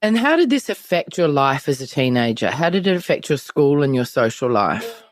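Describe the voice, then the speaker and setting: A woman's voice: rumbling low, very animated, moderate pace, no room sound, studio quality. A middle-aged woman with an Australian accent, hosting a conversational podcast interview.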